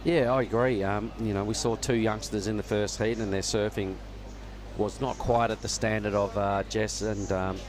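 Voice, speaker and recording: A man's voice: fairly low pitch, normal speed, a crowd in the background. Man commentating surfing. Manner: relaxed, knowledgeable, chatty. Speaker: surf contest commentator. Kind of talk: live sports commentary. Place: judging tower at an outdoor surf contest. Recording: noisy outdoor ambience with traffic.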